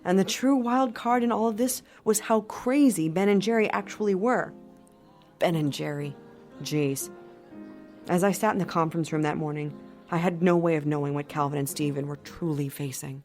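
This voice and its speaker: A woman's voice: lowish voice, normal speed, darkish tone, faint music behind, close to an everyday voice. An actress playing a skeptical company lawyer telling her story in an audio drama with dry, wry disapproval. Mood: wry, reflective. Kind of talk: first-person audio drama narration.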